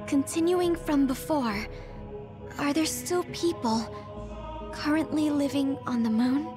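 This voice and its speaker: A perky, candid teenage girl for a video game. Her voice is very high and expressive, with informal phrasing. Music plays behind her. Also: unhurried. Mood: curious. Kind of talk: scripted video game voice acting.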